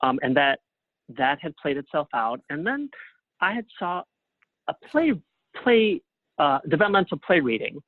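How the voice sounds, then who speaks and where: A man's voice: on the high side, sing-song, normal speed, long pauses, no room sound. A middle-aged man talking casually as an interview guest, a playwright with a self-deprecating, anecdotal way of talking. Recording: narrow phone-like line.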